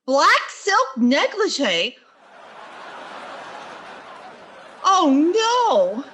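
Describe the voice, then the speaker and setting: A woman playing a wry schoolteacher with quick deadpan asides in a vintage radio sitcom style. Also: high voice, a little hiss or hum, heavily acted. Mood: flustered, dry.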